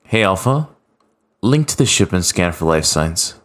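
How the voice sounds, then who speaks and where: A man plays a heroic space ranger in an audio story. The voice mostly sits very low but swings widely in pitch, dramatic and defiant. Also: very animated, unhurried, fairly bright tone, heavily acted. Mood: commanding.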